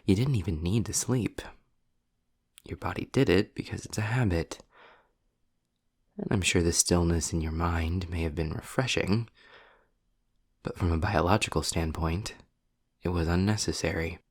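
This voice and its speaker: A man playing a sardonic, world-weary demon in an intimate roleplay audio drama, deliberate and breathy, often dropping toward a whisper. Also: low voice. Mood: grim, explaining. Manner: sarcastic, deliberate, sighing.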